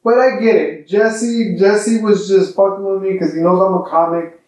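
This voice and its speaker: A man's voice: sits high, moderate pace. A man who does stand-up comedy, talking bluntly and profanely in a casual podcast chat. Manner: blunt and profane, animated storyteller. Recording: very echoey room.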